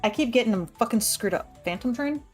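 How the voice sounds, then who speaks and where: A woman's voice: fairly high pitch, lively intonation, a little fast, faint music behind. A woman co-hosting a stream, reading card rules aloud in a casual, blunt way and swearing offhandedly.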